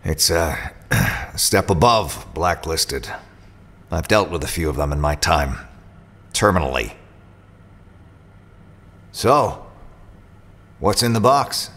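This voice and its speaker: Sharp-voiced cocky mercenary. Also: male voice, sits low, lively intonation, slow pace, pauses often, heavily acted. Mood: grim. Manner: blunt, cocky, defensive. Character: boastful, touchy male freelance mercenary. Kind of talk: science-fiction audio drama acting.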